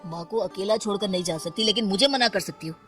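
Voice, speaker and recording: A woman's voice: medium pitch, fast talker, fairly bright tone, breathy edge. A woman voicing a sulky young newlywed husband who feels neglected, in an audio story. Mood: annoyed. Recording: music under the voice.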